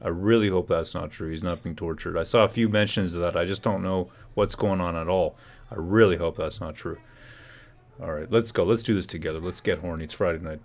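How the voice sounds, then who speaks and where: Man's low sarcastic livestream rambling. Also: a little fast, a little mellow. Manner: sarcastic, rambling, talks over clips. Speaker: livestream host. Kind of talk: casual livestream commentary.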